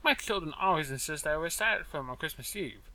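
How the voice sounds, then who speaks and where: Man voicing a brisk, high-pitched little storybook mouse. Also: lively intonation, bright, forceful tone, exaggerated voice. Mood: hurried. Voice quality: small, clear, high-pitched. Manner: distinct, fussy, formal diction. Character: brisk, impatient talking little male mouse, proud family man.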